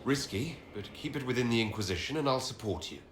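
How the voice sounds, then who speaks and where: An actor playing a composed, pragmatic fantasy hero and leader in a video game, with a matter-of-fact delivery. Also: male voice, mid-range voice, animated, quick pace, close to an everyday voice. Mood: supportive, pragmatic. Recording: echoey, clearly noisy game audio.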